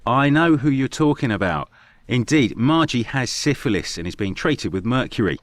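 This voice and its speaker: An actor playing a frank, measured Victorian clinic doctor in a video game, formal and matter-of-fact. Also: male voice, medium pitch, lively intonation, dry recording, close to an everyday voice.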